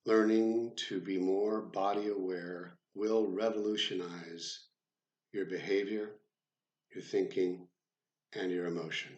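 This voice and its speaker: A man guiding a meditation as an instructor, speaking in a measured, deliberate way with frequent pauses. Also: sits low, steady tone, darkish tone, roomy sound, muffled recording. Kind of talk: guided body scan meditation instruction. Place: room with the door left open.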